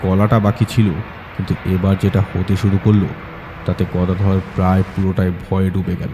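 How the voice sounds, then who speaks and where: A man's voice: low voice, monotone, normal speed, noisy background. A man reading a scripted horror audiobook as its story narrator.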